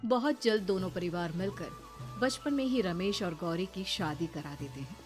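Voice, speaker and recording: A woman's voice: fairly low pitch, normal speed, faint music behind. A woman reading scripted story narration.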